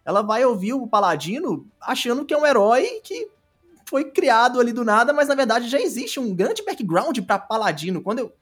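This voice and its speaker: Um homem com sotaque brasileiro conversando informalmente num podcast, como convidado e criador de audiodrama. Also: male voice, very high voice, very animated, normal speed, a bit bright, breathy edge. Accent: Brazilian. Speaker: podcast guest, audio drama creator. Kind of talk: casual podcast chat. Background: music.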